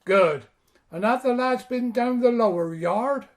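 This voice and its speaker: Guy doing middle-aged stone boss, high voice, gruff but kindly dad, radio play style. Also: a little slow. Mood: businesslike. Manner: plain-spoken rustic dialect, bossy. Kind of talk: audio drama acting.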